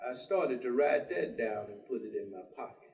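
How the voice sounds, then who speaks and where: A man's voice: mid-range voice, lively intonation, normal speed, gravelly, a little mellow. An actor playing an earnest, persistent, folksy working man in a staged dramatic reading, speaking colloquially. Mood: searching. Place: theatre stage. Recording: echoey theatre, distant microphone.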